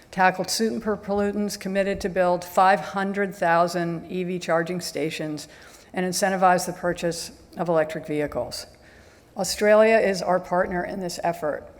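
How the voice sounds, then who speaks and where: A woman delivers a formal address in a soft, measured manner, often pausing on a hesitant "um." Her voice sits slightly low for a woman. The sound carries a long reverb from a large event hall, picked up from a lectern microphone. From United States. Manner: soft-spoken, measured, with frequent hesitant ums. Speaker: ambassador giving welcome remarks. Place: large stadium event venue.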